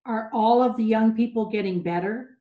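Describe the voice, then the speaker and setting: A woman interviewer asking measured, sympathetic questions. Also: a little high, narrow, tinny audio. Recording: echoey room.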